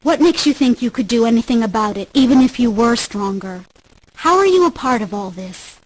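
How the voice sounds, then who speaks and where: A brave young heroine in a game drama. Her voice is fairly high with a slightly husky edge. She sounds earnest and anxious, pressing with questions and pleas. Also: lively intonation, a little fast, poor audio. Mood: probing. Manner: pleading, questioning. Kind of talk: dramatic video game voice acting.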